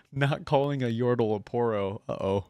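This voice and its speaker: A man's voice: mid-range voice, unhurried, darkish tone, soft background music. A man hosting a reaction video, musing aloud in an easygoing way and reading bits out as he comments.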